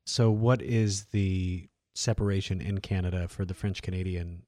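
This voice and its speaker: A middle-aged man hosting a casual studio interview, relaxed and jokey, asking quick questions. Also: low-pitched, no echo. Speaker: interviewer, host. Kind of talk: casual conversation.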